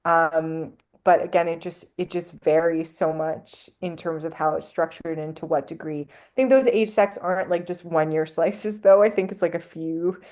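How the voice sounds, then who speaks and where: Podcast guest: woman, French native speaker, lower register, easy rambling chat full of fillers. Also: moderate pace, few pauses, a little mellow. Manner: chatty, lots of likes and ums. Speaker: anthropologist guest. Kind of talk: casual podcast interview.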